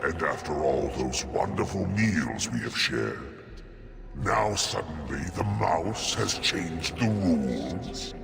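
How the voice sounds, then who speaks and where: Fantasy game demon: huge and menacing, drawn-out taunting growl, swooping intonation. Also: male voice, expressive, exaggerated voice. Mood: menacing, mocking. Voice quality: deep gravelly growl. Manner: slow, theatrical, taunting. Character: menacing rage demon, huge, ancient, mocking. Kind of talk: fantasy video game acting.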